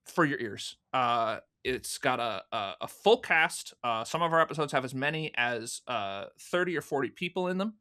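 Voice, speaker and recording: A man's voice: medium pitch, animated, moderate pace, few pauses, pushed and bright. A man speaking casually and conversationally as a guest answering questions in an interview. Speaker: podcast guest, fan audio drama producer.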